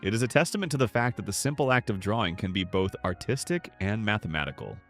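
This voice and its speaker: A man's voice: fairly low pitch, animated, quick pace, fairly bright tone, faint music behind. A man reading scripted narration in an earnest storytelling manner, as if addressing the listener directly. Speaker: narrator of a nostalgia video.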